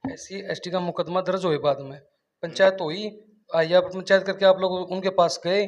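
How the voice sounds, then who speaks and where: The recording is somewhat muffled and lo-fi. A man with a Haryanvi accent and a high-pitched voice puts questions in an informal interview, as a local reporter. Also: fairly even intonation, moderate pace. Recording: dull, muffled lo-fi sound.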